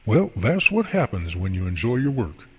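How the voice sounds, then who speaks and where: An actor playing a folksy, devout boss and mentor in an amateur radio drama, explaining things earnestly and at length. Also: male voice, on the low side, very animated, normal speed, a bit bright, a little hiss or hum. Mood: friendly, earnest. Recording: long hall reverb.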